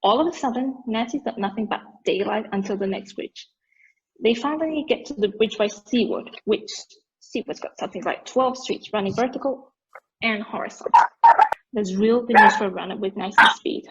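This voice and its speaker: A woman reading the part of an excited amateur football commentator in a play table read, calling the action play by play. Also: medium pitch, normal speed, slightly rough, lo-fi sound. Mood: focused.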